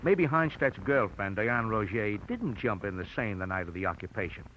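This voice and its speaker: A man's voice: on the low side, animated, moderate pace, a bit bright. An American actor playing a shrewd, needling newspaperman in a 1940s radio drama, wry and probing. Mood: shrewd, accusing. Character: shrewd, needling male newspaperman confronting a suspect. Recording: dull old radio recording, faint noise.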